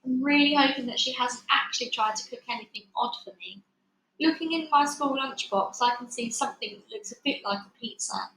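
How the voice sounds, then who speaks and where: A woman reading a children's book aloud in an expressive, dramatic storytelling style. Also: sits high, brisk. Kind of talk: animated read-aloud narration. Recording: echoey room, faint background noise.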